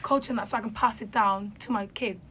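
A woman's voice: mid-range voice, steady tone, fast talker, pushed and bright. A young woman, talking informally and emphatically in a casual group chat. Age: young adult. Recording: clear background noise and room ambience.

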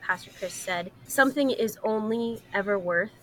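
A woman's voice: mid-range voice, moderate pace, fairly bright tone, a little hiss or hum, poor audio. A woman co-hosting a podcast, talking casually and earnestly about stories and faith. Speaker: podcast co-host.

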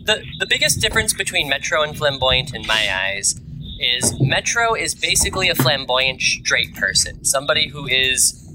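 A young man in his late twenties jokes with his gaming group. His pitch swings widely as he talks. A clear layer of noise sits under his voice. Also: moderate pace. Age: 20s. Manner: animated, joking. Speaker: tabletop game player. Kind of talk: casual chat among players.

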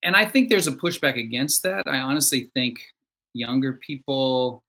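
A man's voice: mid-range voice, moderate pace, sharp tone. A man being interviewed as a songwriter guest, talking in a reflective, conversational way. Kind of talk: casual conversation.

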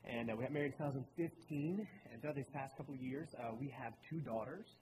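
A man's voice: medium pitch, fast. Earnest young man in an echoing hall. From Baltimore, Maryland. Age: late 20s. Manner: earnest, conversational storytelling. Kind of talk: personal talk in a sermon. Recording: reverberant hall with clear room noise.

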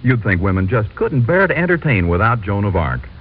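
A man's voice: fairly low pitch, big pitch swings, fairly quick. A man announcing and reading advertising copy in the style of 1940s radio. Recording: tinny 1940s radio recording.

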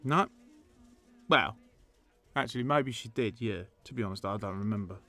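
An actor playing a naive, dim and cagey man in a dark comedy audio drama, earnest and guileless. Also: on the low side, normal speed, gravelly. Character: naive, dim, cagey husband-type celebrating his freedom.

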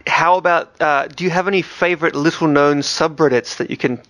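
A man's voice: a little high, fairly quick, fairly bright tone, dry recording. A man co-hosting a podcast with casual, wry, teasing banter. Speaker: podcast host.

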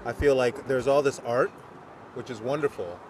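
A man's voice: mid-range voice, normal speed. New york guy musing, keen and thoughtful, mid voice, a bit of traffic hum outside. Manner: reflective, enthusiastic. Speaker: podcast co-host. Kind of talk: casual chat. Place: outdoors at a city restaurant. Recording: faint street traffic noise behind.

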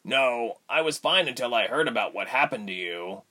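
A male reader plays a devoted man held prisoner in an adventure story. He talks quietly and earnestly, in a mid-range voice with expressive rises and falls. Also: mid-range voice, moderate pace. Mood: explaining. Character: devoted male captive, quiet, thoughtful, teasing.

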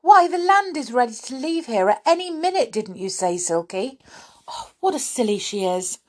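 A woman reading aloud voices a concerned young boy, raising her pitch and speeding up. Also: very animated, normal speed. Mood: alarmed.

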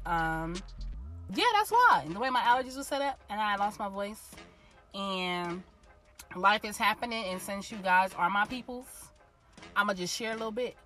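A woman's voice: mid-range voice, lively intonation, normal speed, sharp tone, soft background music. A young woman hosting her own podcast from inside a car, chatty and slangy, a little congested from a lost voice. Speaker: podcast host. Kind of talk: casual solo podcast talk.

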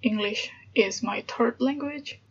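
A woman with an Indonesian accent talks slowly in a husky, breathy voice that sits a little high, pausing often as she thinks aloud. The recording is dull and lo-fi, with a hesitant, casual podcast feel. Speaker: podcast host. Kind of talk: casual solo podcast monologue. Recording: dull lo-fi sound with background noise.

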